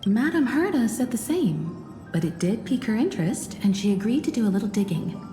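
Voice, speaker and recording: An inquisitive young explorer. She speaks politely, with a mellow tone and a lot of pitch movement. A roomy reverb and soft music surround her. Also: big pitch swings, a little fast. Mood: curious.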